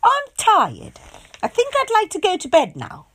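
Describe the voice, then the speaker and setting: Brief: prim, fussy storybook girl, high and lively, a woman putting her on. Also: a little slow, dry recording, exaggerated voice. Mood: exasperated. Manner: fussy, exasperated. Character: sensible, puzzled little storybook girl.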